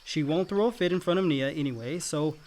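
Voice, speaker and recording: A man's voice: fairly high pitch, a little fast, darkish tone, close to an everyday voice. A man acting an earnest, dutiful young adult son, the family peacemaker, in a naturalistic radio play. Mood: firm, reassuring.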